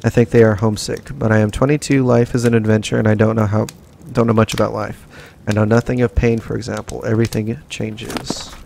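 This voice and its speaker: A man hosting a let's play, reading in-game notes slowly and evenly between short casual asides. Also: lowish voice, level tone, vocal fry, background noise. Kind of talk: casual gameplay commentary and reading notes aloud.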